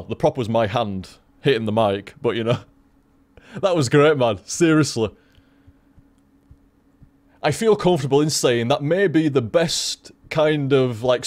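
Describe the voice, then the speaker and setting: A young man with a softened Yorkshire accent, rambling casually to his stream chat, swearing freely and laughing often. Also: medium pitch, animated, measured pace, long pauses, no echo. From Leeds, Yorkshire, England.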